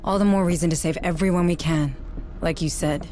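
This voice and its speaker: An actress playing a composed, resolute space station administrator in a video game, speaking earnestly and directly. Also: female voice, on the low side, steady tone, a little fast, mellow, natural voice. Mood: supportive, firm. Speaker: deputy administrator. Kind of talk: dramatic game cutscene acting.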